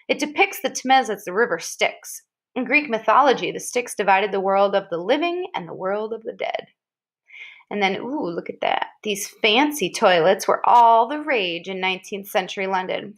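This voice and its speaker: A woman's voice: mid-range voice, a bit bright. A woman reading an informational article aloud expressively, like a teacher, leaning on and drawing out key descriptive words.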